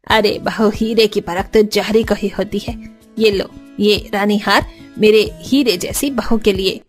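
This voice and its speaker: A woman's voice: medium pitch, steady tone, measured pace, faint music behind, close to an everyday voice. A woman playing a boastful, condescending rich middle-aged mother-in-law in a cartoon story. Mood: gushing.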